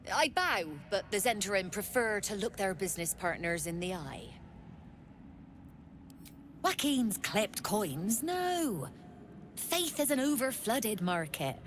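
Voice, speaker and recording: A woman voicing a smooth-talking female smuggler merchant with a silky, businesslike manner. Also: mid-range voice, a bit bright, slight background noise.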